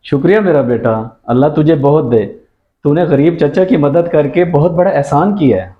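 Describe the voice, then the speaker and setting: A man's voice: fairly high pitch, natural voice. A man playing a humble, troubled uncle short of money in an amateur moral drama, plaintive and respectful. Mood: grateful. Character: troubled, humble, hard-up younger brother and uncle. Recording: slightly dull lo-fi sound.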